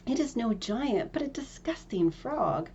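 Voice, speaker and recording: Lady doing a young princess from a storybook, snooty and easily scared, mellow voice. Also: a little high, animated, unhurried, breathy edge, lo-fi sound, pitched above the performer's own voice, heavily acted. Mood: anxious, reluctant. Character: spoiled young princess, disdainful and easily frightened.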